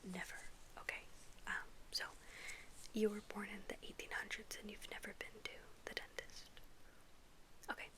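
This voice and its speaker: A woman whispering softly in an ASMR roleplay as a dentist, gently and politely asking questions. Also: mid-range voice, level tone, moderate pace, long pauses. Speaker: ASMR performer playing a dentist.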